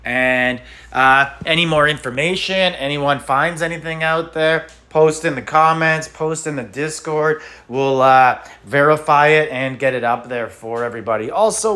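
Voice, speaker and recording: A man's voice: a little high, a bit bright. A man hosting a mobile game channel, chatting casually and thinking aloud as he taps through menus. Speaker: gaming channel host.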